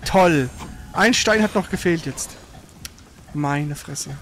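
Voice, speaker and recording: High-pitched man commenting on a game. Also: takes it slowly, slight background noise. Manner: colloquial, exclaims at the game. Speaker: gamer, let's play host. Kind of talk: casual gaming commentary while playing.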